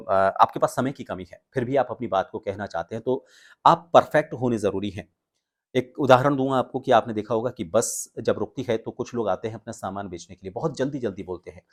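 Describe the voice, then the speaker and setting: A man giving an instructional talk to viewers as a voice coach, explaining with pauses and stress on key words. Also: medium pitch. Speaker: voice coach and video presenter.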